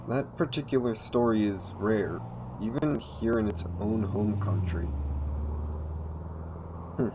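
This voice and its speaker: A man acts out an ancient vampire, once a king, for an audio roleplay. He is low-pitched and very unhurried, with courtly, formal manners over a predatory nature. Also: noisy background. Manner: formal, old-fashioned, courtly wording. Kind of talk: intimate audio roleplay acting.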